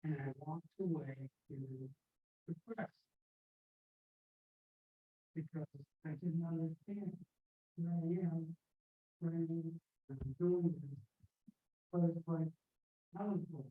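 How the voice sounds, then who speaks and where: Muffled, slow-speaking woman in a class. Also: low-pitched. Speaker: class participant. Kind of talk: hesitant personal comment in a class. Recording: muffled, band-limited, quiet and distant.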